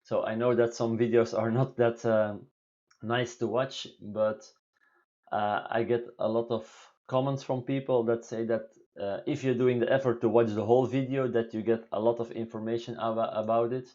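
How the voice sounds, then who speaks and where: A man in his thirties from Belgium with a deep voice, answering viewer questions in halting English on a home live stream. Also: little pitch movement, dark tone, dull audio. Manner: halting, many fillers and restarts, non-native English phrasing. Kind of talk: casual live Q&A talk. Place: small room indoors at home.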